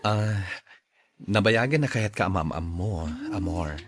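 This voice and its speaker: A man acting a smooth-talking, admiring boss in an Ilocano-accented radio drama. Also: low voice, a little slow. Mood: flattering.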